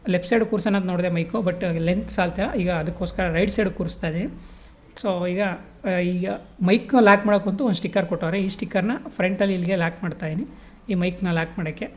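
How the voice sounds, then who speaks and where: A woman giving a casual step-by-step tutorial, colloquial and mixing in English words. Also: on the low side. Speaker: vlogger demonstrating gear installation.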